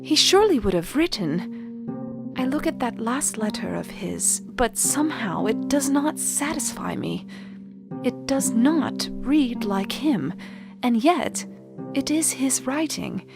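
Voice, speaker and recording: A woman's voice: fairly high pitch, lively intonation, takes it slowly, creaky, heavily acted. An actress playing a worried, thoughtful young Victorian woman narrating her diary in a gothic radio play. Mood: worried, pensive. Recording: music playing behind the voice. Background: music.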